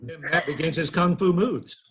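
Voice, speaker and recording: A husky man's voice, a little high, reads scripted stage directions. He narrates a table read over a video call. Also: moderate pace.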